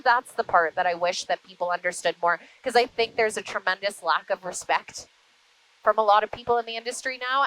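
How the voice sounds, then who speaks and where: A woman who works in broadcasting, answering interview questions conversationally in an animated storytelling way. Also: mid-range voice, poor audio. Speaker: interview guest, media broadcaster.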